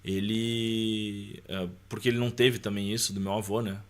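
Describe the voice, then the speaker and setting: A man's voice: on the low side, little pitch movement, moderate pace. A man telling personal stories in a casual, conversational way as a podcast guest, often seeking agreement with little tag questions. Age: adult. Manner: conversational, punctuates with tag questions and quotes remembered dialogue.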